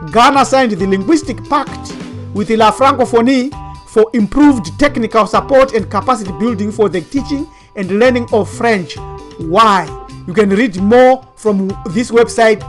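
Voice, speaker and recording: A woman with a fairly high voice lectures like a preacher, stacking one rhetorical question on another as she talks through pages on screen. Soft music plays faintly underneath her voice. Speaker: online teacher and commentator. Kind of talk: commentary over web pages.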